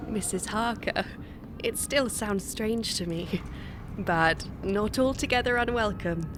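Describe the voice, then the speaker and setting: A woman's voice: medium pitch, slow, close to an everyday voice. A woman playing a brave, composed young wife, polite and coaxing, in an audio drama. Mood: affectionate. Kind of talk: theatrical audio drama acting. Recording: clear background noise.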